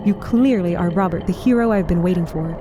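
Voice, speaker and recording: A woman's voice: fairly low pitch, brisk, background noise, lightly acted. A woman playing a noble, earnest guide in a fantasy audio drama, speaking formally. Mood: welcoming, earnest. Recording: music bed under voice.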